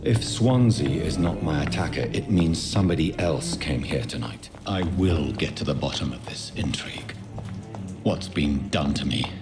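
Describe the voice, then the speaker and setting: An actor voicing a brooding, determined doctor turned vampire in a video game, with a terse, grave delivery. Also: male voice, a bass voice, expressive, moderate pace. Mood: grave, musing. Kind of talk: scripted game dialogue and monologue. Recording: music under the voice.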